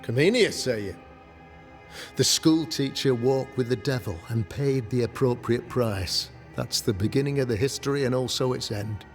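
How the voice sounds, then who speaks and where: A man's voice: medium pitch, normal speed, natural voice. An actor playing a pompous, self-righteous colonial governor who sermonizes grandly over his townsfolk. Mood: dismissive. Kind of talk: video game cutscene acting. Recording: long reverb under game music.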